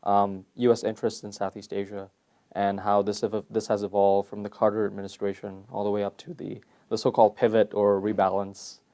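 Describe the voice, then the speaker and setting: A young man from Malaysia, a PhD candidate answering interview questions in a measured, thoughtful way with frequent fillers. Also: on the low side, level tone, dark tone. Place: conference venue.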